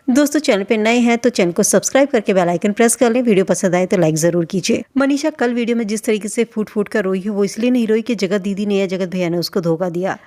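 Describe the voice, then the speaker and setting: A woman's voice: mid-range voice, a little mellow. A woman hosting a video channel, giving gossip commentary in a reproachful, questioning way, addressing people directly. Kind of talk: spoken commentary on vlogger gossip.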